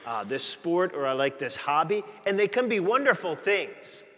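Chatty priest in a reverberant church. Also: male voice, on the high side, very animated, moderate pace, bright, forceful tone. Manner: chatty, joking with the congregation. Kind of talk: conversational sermon. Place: large church, walking the aisle before the altar.

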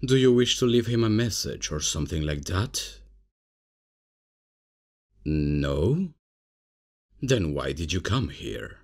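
A man playing a cocky, casual, unprofessional substitute professor in an ASMR comedy roleplay, with a husky, gravelly voice and a hesitant, improvising way of talking. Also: sits low, very animated, slow, pauses often, heavily acted. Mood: casual, friendly. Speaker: roleplay performer.

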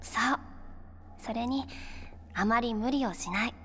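A caring young heroine, high and girlish. She talks tenderly and casually in game-cutscene style, with faint noise behind her. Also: unhurried, a bit bright, in a large hall. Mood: concerned. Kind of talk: Japanese video game cutscene acting.

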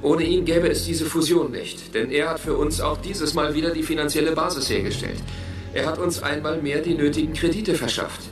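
A man's voice: a little high, normal speed, close to an everyday voice. An actor playing an earnest businessman delivering a formal, solemn, declamatory speech in a radio play. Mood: grave, moved. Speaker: company executive. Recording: echoey hall, distant, music behind.

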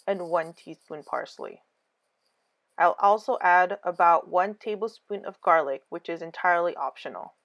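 A woman giving a scripted cooking voice-over, calm clear step-by-step instructions for a home recipe. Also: on the low side. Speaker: cooking channel host.

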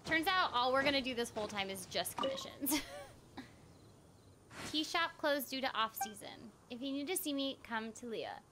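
A woman's voice: high voice, animated, moderate pace, noisy background. A young woman chatting casually on a game livestream, playfully talking to the characters and her viewers. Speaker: game streamer. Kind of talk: casual livestream chat while gaming. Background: game music.

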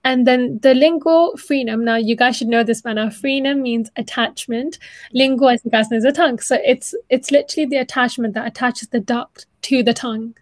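A woman's voice: a little high, fairly even intonation, brisk, dry recording. A young woman teaching informally on a video call, chatty and encouraging, often rephrasing as she explains. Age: young adult. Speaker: student presenter of an online dental anatomy session. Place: small room at home, indoors.

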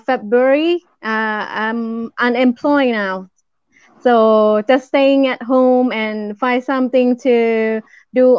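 Woman from thailand, real slow and careful, smooth voice, dry muffled call. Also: on the high side, no room sound. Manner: careful, halting. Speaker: guest. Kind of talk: self-introduction on a call. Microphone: video call. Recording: dull lo-fi call audio.

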